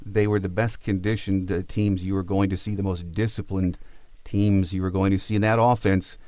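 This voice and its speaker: An American man hosting a sports podcast, speaking in a measured, conversational way as he interviews and comments. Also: low-pitched, little pitch movement, a little mellow, dry recording. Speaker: podcast host.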